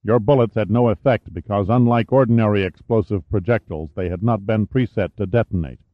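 Man voicing a formal, low-voiced military colonel. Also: lightly acted. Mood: stunned, grave. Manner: formal, measured, understated. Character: courteous, serious military colonel from Washington. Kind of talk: audiobook character dialogue. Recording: dull, roomy, echoey sound.

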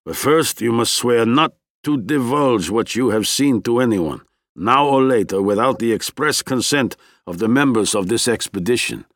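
Guy doing a stern proud expedition leader for an audiobook, formal, measured, dry recording. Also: mid-range voice, animated, a bit bright, no echo. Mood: grave, stern.

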